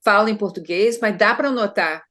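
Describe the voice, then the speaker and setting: A woman's voice: medium pitch, normal speed, a bit bright. A middle-aged Brazilian woman consultant speaking conversationally in an interview, often adding tag questions and restarting her sentences. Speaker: consultant guest.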